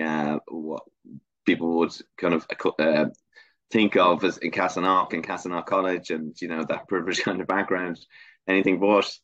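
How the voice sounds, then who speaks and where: A man's voice: low voice, fairly bright tone, no room sound. A middle-aged man with a Dublin accent, answering interview questions reflectively, hesitant with many fillers and restarts. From Ireland. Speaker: guest filmmaker. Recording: muffled lo-fi sound.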